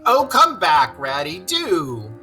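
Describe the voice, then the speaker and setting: A small, homesick mole from a storybook, crying and pleading in a very high, bright voice. The words come out halting and plaintive, broken by sobs, with lively swings of pitch. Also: male voice, soft background music, heavily acted. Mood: alarmed, pleading. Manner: halting, plaintive, repeating himself. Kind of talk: storybook read-aloud character voice.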